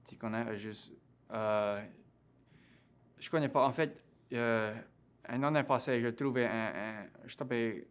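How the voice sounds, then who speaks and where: A young man in his twenties with a Cajun French accent, talking as an interview guest, halting and full of fillers and restarts. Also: mid-range voice, smooth. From Louisiana. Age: 20s. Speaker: interview guest, graduate student. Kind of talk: casual interview conversation.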